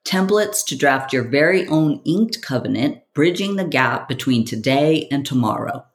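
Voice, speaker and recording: A podcast host and coach delivering a scripted monologue in a theatrical, rhetorical way, speaking straight to the listener. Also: medium pitch.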